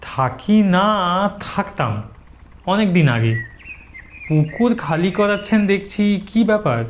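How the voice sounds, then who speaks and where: A man's voice: high-pitched, animated, slow pace, a little hiss or hum. A man narrating a horror story in a theatrical, suspenseful style. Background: background music.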